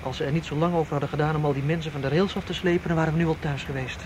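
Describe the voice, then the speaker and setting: A man's voice: a little high, fairly even intonation, very fast, lightly acted. A man playing a tense, self-assured getaway planner in a naturalistic radio drama, with a clipped, instructing manner. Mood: tense, confident. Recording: dull, noisy radio drama recording.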